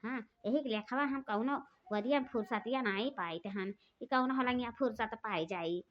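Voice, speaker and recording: Woman doing a high-voiced awadhi sister-in-law, bossy and taunting, cartoon, muffled audio. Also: moderate pace, lightly acted. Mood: chatty. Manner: scolding, taunting, loud. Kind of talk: cartoon voice acting. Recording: dull lo-fi recording.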